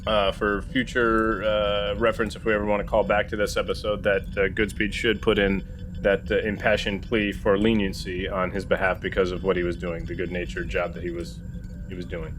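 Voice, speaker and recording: A man's voice: low-pitched, flat delivery, fairly quick, background noise. A man playing a captain in a tabletop game, switching between improvised role-play and casual chat, hesitant and often correcting himself.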